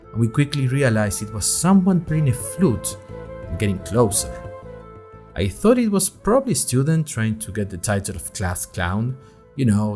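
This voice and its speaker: A man's voice: lowish voice, a little mellow. A man playing a chatty, nervous young college student who narrates his story in a wry, conversational way with dramatic emphasis. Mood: chatty, animated. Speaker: narrator of an audio fiction podcast. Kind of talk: scripted first-person storytelling, diary-like.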